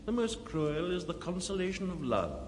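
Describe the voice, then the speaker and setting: An actor playing a brooding, pessimistic philosopher in an audio drama, solemn and aphoristic. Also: male voice, sits high, very animated, moderate pace. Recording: echoing long reverb, noisy.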